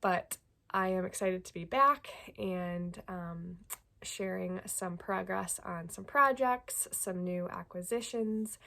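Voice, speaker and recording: A woman's voice: on the low side, takes it slowly, vocal fry, sharp tone. A young woman with a stuffy nose from a cold, chatting casually about her knitting as a podcast host, with lots of ums. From Greater Portland, Maine. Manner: chatty, many ums, friendly. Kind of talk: casual chat to camera.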